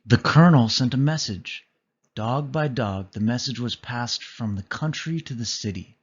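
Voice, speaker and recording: A man reading a children's story aloud as storyteller, with expressive narration. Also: mid-range voice, normal speed, slightly rough, lo-fi sound.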